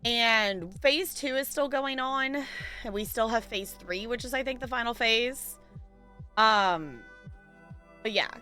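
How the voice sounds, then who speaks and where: A woman with a bright, forward voice pitched a little high, talking like a game streamer to viewers. The delivery is chatty and loosely rambling, with playful digs at oneself, over some background music. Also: slow pace. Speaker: video game live streamer. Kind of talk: casual livestream chat, answering viewers. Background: music behind the voice.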